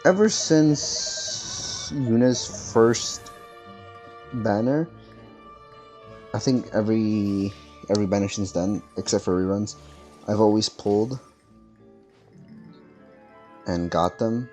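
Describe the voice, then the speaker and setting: A mellow-voiced man rambling over a game. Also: on the low side, slow pace, pauses often. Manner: rambling, distracted, many fillers. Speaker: gamer streaming a playthrough. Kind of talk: casual gaming commentary. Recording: voice over game audio with faint music.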